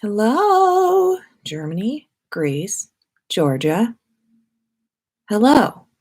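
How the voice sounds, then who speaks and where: A woman hosts a casual livestream in an informal, chatty way. She takes her time, speaking slowly at an average pitch for a woman. She addresses her listeners directly, like a podcaster talking to regulars. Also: wildly swooping pitch, a little mellow.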